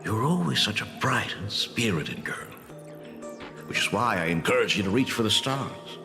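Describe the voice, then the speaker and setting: Gravelly, gentle aging father. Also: lowish voice, expressive, moderate pace. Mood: wistful, tender. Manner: tender, wistful, chuckling storyteller. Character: gentle, nostalgic aging father and school principal. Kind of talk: video game voice acting. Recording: music under the dialogue.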